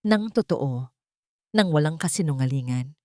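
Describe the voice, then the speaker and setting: A woman's voice: low-pitched, expressive, moderate pace, darkish tone, no echo, studio quality. A woman voicing an earnest, devoted father in an audio drama, speaking sincerely and solemnly. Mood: heartfelt. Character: David, earnest, devoted adult father and wealthy employer. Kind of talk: Tagalog audio drama dialogue.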